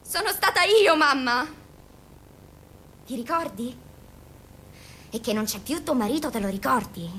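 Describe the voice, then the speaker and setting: High, volatile disturbed daughter. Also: female voice, animated, unhurried, long pauses, a bit bright. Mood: taunting. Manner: insistent, taunting, shrill outbursts. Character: disturbed, taunting daughter confronting her mother. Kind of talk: theatrical radio drama acting. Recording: noisy recording with low rumble.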